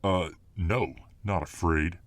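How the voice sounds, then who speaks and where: A man playing a gruff, protective, muscular fireman boyfriend full of tough-guy bluster in an ASMR roleplay. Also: sits low, slow pace, no room sound. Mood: defiant.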